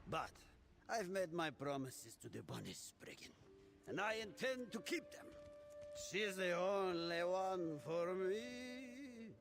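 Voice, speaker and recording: A man's voice: sits high, lively intonation, slow, creaky, a bit bright, faint music behind, exaggerated voice. A man playing a tiny, boastful, lovesick drunken pirate cat in a video game, talking theatrically. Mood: tipsy, lovesick. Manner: talks of self in third person, theatrical. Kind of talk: video game character acting.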